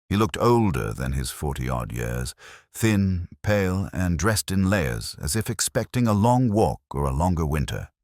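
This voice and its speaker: A man narrates a mystery tale in a very deep voice that is a little husky. His delivery is measured and literary, yet the pitch moves expressively. The sound is dry, with no room around it. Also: a little mellow, no room sound. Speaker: audiobook narrator. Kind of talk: scripted mystery story narration.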